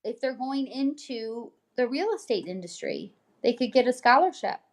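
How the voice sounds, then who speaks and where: High-voiced woman co-hosting a casual chat. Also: animated, moderate pace. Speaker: co-host, realtor reading a newsletter. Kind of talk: casual chat while reading news items.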